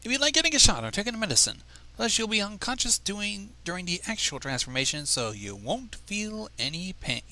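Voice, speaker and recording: A man's voice: sits high, big pitch swings, a little fast, bright, forceful tone, pitched above the performer's own voice, exaggerated voice. A man voicing a kindly female pony mentor who speaks earnestly and reassuringly in a story reading.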